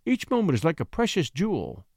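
A sly countess of a period storybook, acted by a male narrator, lifted in pitch and swooping widely. She coaxes and pleads with a breathy, cajoling manner, in a dry, close sound. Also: wildly swooping pitch, fairly quick, darkish tone, no room sound, full character voice. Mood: pleading, urgent. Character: scheming countess, sly, coaxing, feigning innocent distress.

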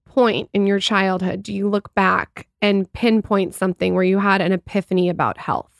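A woman co-hosting a podcast, asking curious, probing questions at a measured pace. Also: mid-range voice, fairly even intonation, vocal fry, no echo, studio quality. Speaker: podcast co-host. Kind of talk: interview questions.

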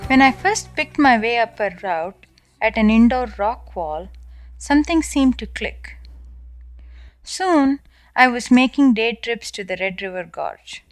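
A woman's voice: a little high, expressive, measured pace, no room sound, faint music behind. Indian woman narrating a personal essay. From South India. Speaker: podcast essayist. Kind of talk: first-person scripted narration.

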